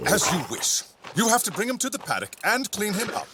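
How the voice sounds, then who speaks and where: A man voicing a stern, exasperated middle-aged father who runs a horse farm in an animated cartoon, firm and scolding. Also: sits high, a little fast, very noisy, full character voice. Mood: resolute. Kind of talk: animated cartoon dub acting. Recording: music bed behind the voice.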